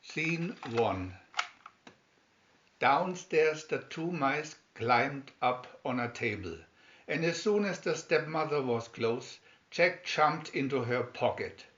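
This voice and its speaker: Male narrator for children's fairy tale: unhurried read-aloud, slightly high voice, echoey home recording. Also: expressive, a bit bright, highs cut off. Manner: deliberate read-aloud delivery. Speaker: storybook narrator.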